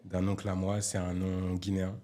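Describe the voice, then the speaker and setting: A man's voice: sits low, monotone, moderate pace, dark tone. A twenty-three-year-old man, a guest and music producer, chatting in a laid-back, slangy way. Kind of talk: casual chat.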